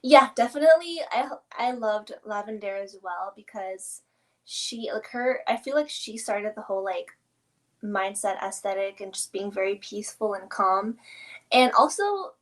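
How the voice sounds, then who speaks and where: A woman's voice: medium pitch, animated, moderate pace, fairly bright tone. A woman hosting a casual interview, chatty and affirming, with plenty of enthusiasm. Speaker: interview host.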